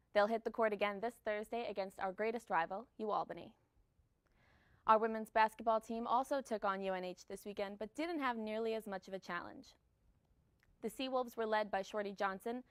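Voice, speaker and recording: Young woman, student anchor, mid-range pitch, scripted news delivery. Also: clear, resonant tone. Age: young adult. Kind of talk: scripted campus newscast.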